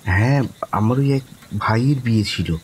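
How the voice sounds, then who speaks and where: A man playing a courteous young man who narrates his own eerie encounter in a horror audio story, lingering and expressive. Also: medium pitch, moderate pace, slight background noise, lightly acted. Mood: courteous, hesitant. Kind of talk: dramatic first-person horror story narration.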